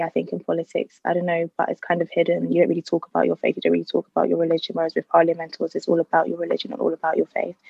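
A woman's voice: lowish voice, gravelly, husky, no echo, highs cut off. A young woman from England, a student, speaking earnestly and passionately in a discussion. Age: young adult. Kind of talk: casual discussion.

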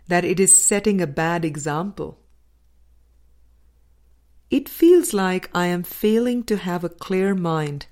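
A woman reading a spiritual book aloud as a scripted narration, with measured, deliberate phrasing in short groups. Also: on the low side, darkish tone. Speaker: audiobook reader.

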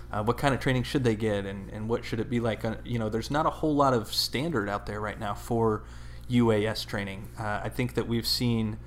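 A man co-hosting a podcast in a conversational discussion, with halting, hesitant phrasing. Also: on the low side, a bit bright, slight background noise. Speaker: podcast co-host.

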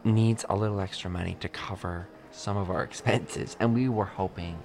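A woman playing an evasive, over-polite school mother with halting speech in an improvised radio play. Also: rumbling low, a little mellow. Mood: pleading.

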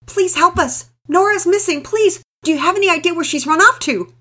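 A frantic sobbing mother, very high. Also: a little fast. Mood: panicked. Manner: pleading, sobbing, near shouting. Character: frantic distraught mother, middle-aged woman.

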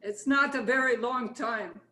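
An actress playing a dour, deadpan Russian woman with a Russian accent in a stage-play reading. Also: on the high side, unhurried, echoey room, slight background noise. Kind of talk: readers theatre stage-play acting.

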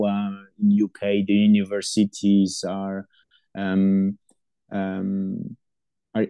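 Halting low-voiced man, non-native English. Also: dark tone, no echo. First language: not English. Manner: halting, many fillers and restarts. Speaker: university student support staff. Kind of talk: interview answers.

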